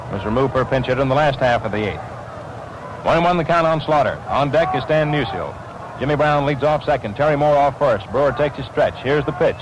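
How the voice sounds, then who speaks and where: An American man calling baseball play-by-play in 1940s radio style, describing the action in crisp phrases. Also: medium pitch. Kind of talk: 1940s radio sports commentary. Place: ballpark broadcast position. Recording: noisy vintage 1940s radio broadcast.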